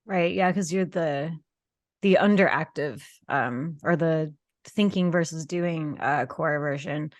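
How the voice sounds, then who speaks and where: A woman's voice: low voice, takes it slowly, no room sound. A woman hosting a casual podcast chat, playful and teasing, laughing often as she introduces her guests. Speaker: podcast host.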